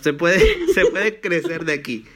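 A man's voice: on the high side, unhurried. A young man with a Mexican Spanish accent, hosting a casual podcast chat with jokes and banter. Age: young adult. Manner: relaxed, joking, friendly banter. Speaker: podcast host.

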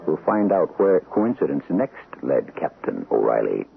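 A man hosting an old-time radio mystery, narrating in a theatrical, portentous, gravelly voice on a narrow vintage recording. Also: extremely low pitch, big pitch swings, moderate pace, soft background music. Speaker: host and narrator.

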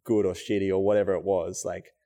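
Young man, low voice, laid-back, reflective, interview guest, filler-heavy talk. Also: fairly quick. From Australia. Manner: relaxed, reflective, many filler "like"s. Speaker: guest, choreographer and dancer. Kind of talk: casual interview chat.

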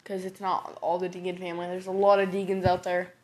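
A woman's voice: lowish voice, completely flat delivery, quick pace. A woman co-hosting a casual family podcast, rambling, giggly and often apologizing. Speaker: podcast co-host.

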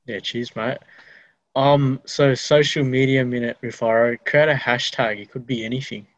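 A man's voice: medium pitch, steady tone, moderate pace, highs cut off. A young Australian man hosting a podcast interview in an informal, matey, chatty way. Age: teenager or young adult. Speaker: podcast host. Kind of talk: casual interview chat.

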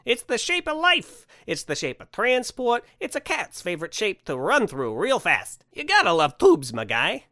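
A man's voice: sits high, huge pitch swings, normal speed, few pauses, bright, forceful tone, dry recording, studio quality, pitched above the performer's own voice, heavily acted. A man voicing a jolly, eccentric Santa for a story, folksy, brash and cajoling. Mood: gleeful. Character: jolly eccentric Santa, brash, pushy, tube-obsessed wish granter.

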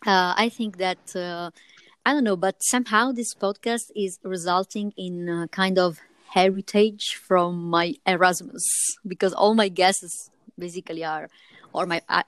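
Smooth-voiced woman podcast host, late twenties. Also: on the low side, dry recording. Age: late 20s. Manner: hesitant, many fillers and restarts. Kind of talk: casual interview chat.

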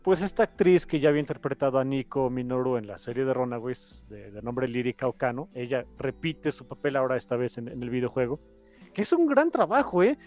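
A man's voice: mid-range voice, animated, brisk, darkish tone, soft background music. A man with a Mexican accent hosting a podcast, chatting casually in an enthusiastic, rambling way. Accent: Mexican Spanish. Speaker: podcast host.

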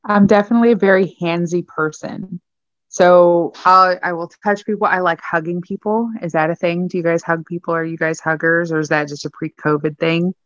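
A woman's voice: on the low side, fairly quick, dry recording. Emphatic woman co-host, muffled dry podcast mic. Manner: animated, emphatic, joking. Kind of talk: casual chat. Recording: dull, dry close mic.

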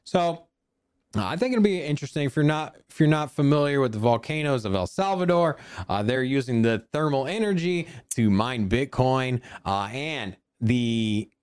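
A man's voice: mid-range voice, animated, normal speed, a little mellow, clear, resonant tone. A man hosting a podcast with casual, enthusiastic commentary, thinking aloud and often repeating his phrases as he goes. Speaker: podcast host. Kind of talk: casual crypto market commentary.

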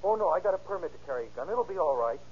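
An actor playing an intense, jealous and desperate young boyfriend in old-time radio drama style. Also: male voice, fairly high pitch, very animated, very fast, darkish tone, slightly rough. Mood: subdued. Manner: intense, pleading or bullying. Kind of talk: 1930s-40s radio drama acting. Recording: muffled, noisy old-time radio recording.

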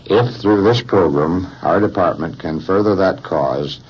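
A man's voice: sits low, a little slow, husky. An American man giving a stiff, official scripted radio address as police chief. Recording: muffled, hissy 1950s radio recording.

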